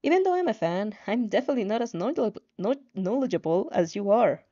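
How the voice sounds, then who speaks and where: A woman's voice: medium pitch, sing-song, fairly quick, dark tone, muffled recording. A woman voicing a friendly, encouraging young heroine who chats in a kind, reassuring way in a fanfiction audio drama.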